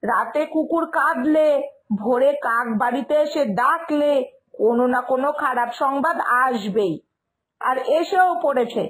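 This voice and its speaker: A woman's voice: high voice, unhurried, fairly bright tone, low-quality recording. A woman voicing a superstitious, stubborn village mother in a cartoon moral tale, scolding and insistent. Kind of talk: Bengali moral-story cartoon dialogue. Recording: music behind the voice.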